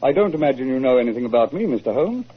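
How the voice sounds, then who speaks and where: An actor playing a polite, well-bred English gentleman with a husky voice in a 1940s radio drama. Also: medium pitch, big pitch swings, racing, slight background noise, natural voice. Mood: polite, admiring. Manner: courteous, urbane. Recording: tinny, narrow-band old radio recording.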